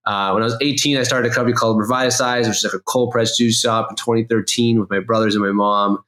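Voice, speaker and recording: A Canadian man with a fairly low voice, chatting informally as a podcast guest. He is talkative and conversational, and the room echoes noticeably around him. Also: fairly even intonation, quick pace, a bit bright. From Toronto, Canada. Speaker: podcast guest, company co-founder. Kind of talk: casual conversational interview.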